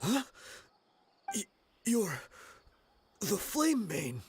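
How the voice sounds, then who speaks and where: A man's voice: high voice, huge pitch swings, drawn-out words, background noise, exaggerated voice. A man playing a defiant, smug captured mercenary in a video game. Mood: startled.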